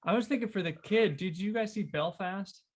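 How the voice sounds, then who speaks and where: A man talking casually as part of a podcast panel, pitching ideas in everyday conversational language. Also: moderate pace. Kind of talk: casual chat.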